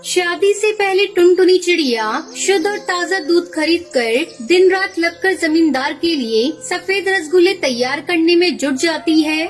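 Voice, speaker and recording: A woman's voice: very high voice, measured pace, few pauses. A woman giving scripted narration of a children's moral story.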